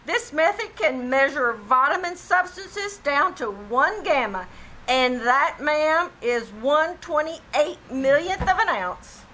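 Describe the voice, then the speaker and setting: A woman's voice: high-pitched, expressive, slow, pushed and bright, a little hiss or hum, full character voice, poor audio. A woman playing an earnest, folksy family druggist and announcer in scripted 1940s radio style, with emphatic, careful salesmanship. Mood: earnest, assured. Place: theater stage in a live performance.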